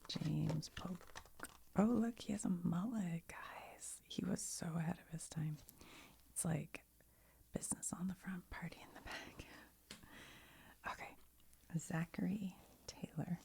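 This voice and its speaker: A woman whispering softly, mostly unvoiced, while she sorts and reads cards with chatty, giggly asides. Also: fairly low pitch, measured pace, gravelly, long pauses. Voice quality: soft whisper, often unvoiced. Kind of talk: whispered ASMR card sorting.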